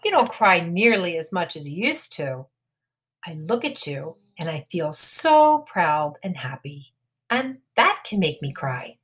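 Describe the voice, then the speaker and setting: Woman as a patient mother rabbit, slow-paced. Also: lowish voice, sing-song, fairly bright tone, slight background noise. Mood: tender, reassuring. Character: gentle, reassuring mother rabbit.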